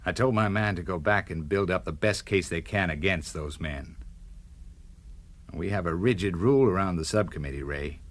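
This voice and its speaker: An actor playing a principled, hard-edged American senator in a radio drama, firm, cool and uncompromising. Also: male voice, deep voice, normal speed, natural voice. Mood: cold resolve.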